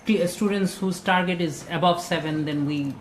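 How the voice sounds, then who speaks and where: A man talks through exam advice in a casual discussion. He pauses often and fills the gaps with hesitations. The sound is roomy, with a faint background noise. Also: a little high. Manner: hesitant, many fillers, slow deliberate phrasing. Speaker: IELTS trainer. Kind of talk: casual discussion, mixing in Bengali. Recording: echoey room with faint ambient noise.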